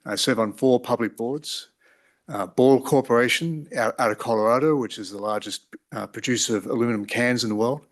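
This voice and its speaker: A middle-aged man with an Australian accent formally introducing his background at a hearing, in a measured, orderly way. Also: medium pitch. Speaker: applicant, company board chair.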